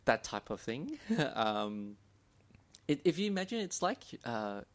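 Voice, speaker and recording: A man's voice: mid-range voice, big pitch swings, measured pace. A man in his thirties co-hosting a podcast in casual chat. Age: 30s. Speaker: podcast co-host.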